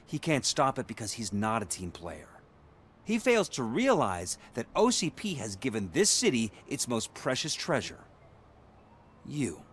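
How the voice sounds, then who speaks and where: An actor playing an ambitious politician in a video game, persuasive and ingratiating in a measured, rhetorical way. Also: male voice, mid-range voice, a bit bright.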